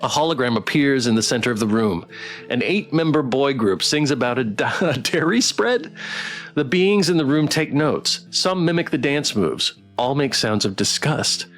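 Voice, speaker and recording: A man streaming a visual novel, reading it aloud dramatically and adding playful, expressive commentary. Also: mid-range voice, moderate pace, faint music behind.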